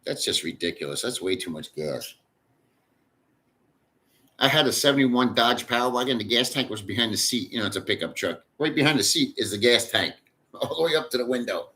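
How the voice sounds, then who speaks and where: A man's voice: mid-range voice, lively intonation, a little fast, sharp tone. A man hosting a casual livestream, reading chat aloud and rambling in a crude, profane way.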